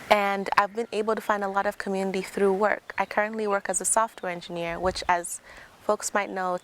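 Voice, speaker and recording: A young woman from Tanzania, a software engineer, giving a prepared talk in an earnest, conversational way. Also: mid-range voice, a little hiss or hum. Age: young adult. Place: outdoors.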